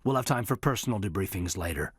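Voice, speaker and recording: A man voicing an earnest, loyal military officer in a sci-fi video game, sincere and restrained, with a husky voice. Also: on the low side, animated, fast talker, dry recording, studio quality. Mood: earnest, matter-of-fact. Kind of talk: naturalistic dramatic game dialogue.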